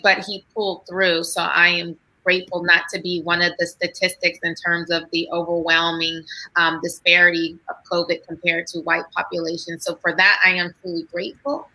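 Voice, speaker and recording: A woman speaking earnestly as a guest on a video call, halting often with fillers. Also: lowish voice, sharp tone, low-quality recording. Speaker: guest psychiatrist. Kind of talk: emotional video call talk.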